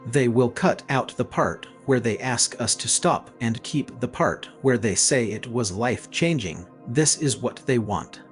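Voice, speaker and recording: A man's voice: mid-range voice, animated, measured pace, hardly any pauses, natural voice. A man playing a persuasive, ruthless experiment leader in an audio story, speaking matter-of-factly. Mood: coolly persuasive. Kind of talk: scripted audio story dialogue. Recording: music playing behind the voice.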